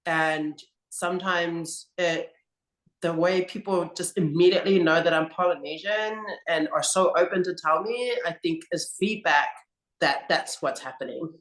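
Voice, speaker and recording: A woman rugby coach answering interview questions in a slow, earnest, reflective way. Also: fairly low pitch. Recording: roomy echo.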